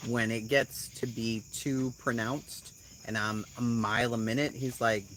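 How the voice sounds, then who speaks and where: A woman with a Boston accent hosting a casual live chat at home, blunt and quick to swear, laughing often as she reacts to comments. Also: very deep voice, steady tone, slight background noise. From Boston area, USA. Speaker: channel host.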